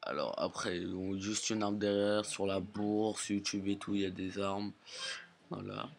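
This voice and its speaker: A man giving a casual, halting demonstration in plain words, as an amateur video presenter. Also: fairly low pitch, steady tone, creaky. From Portugal or Portuguese family. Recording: faint background noise, amateur recording.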